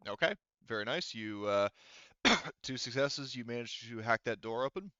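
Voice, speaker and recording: A man's voice: mid-range voice, lively intonation, normal speed, pushed and bright, no echo, dull audio. A man acting as game master, narrating a tabletop game off the cuff in a casual way with some swearing. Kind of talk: improvised tabletop game narration over voice chat.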